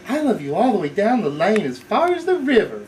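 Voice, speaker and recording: A man's voice: high voice, big pitch swings, brisk, a little mellow, recorded from a distance, voice pushed up. A man voicing a small, eager, affectionate young male hare in a bedtime storybook. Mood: eager, loving. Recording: noisy home camcorder recording.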